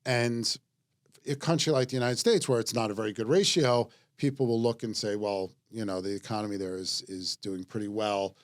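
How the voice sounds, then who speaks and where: A man's voice: lowish voice, normal speed. A man hosting a real estate podcast in a casual panel talk, joking easily and reading out market figures. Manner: joking, easygoing, reads out news figures. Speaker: podcast host.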